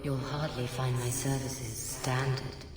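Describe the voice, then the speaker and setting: A woman voicing a seductive, calmly manipulative female demon in a video game, speaking in a silky, deliberate way. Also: rumbling low, distant mic. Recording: echoing cavernous reverb, noisy background.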